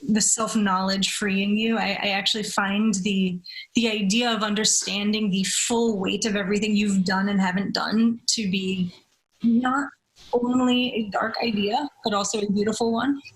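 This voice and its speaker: Woman speaking with deliberate phrasing. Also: medium pitch, steady tone, rough recording. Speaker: story reader. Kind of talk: dramatic literary reading, then casual discussion.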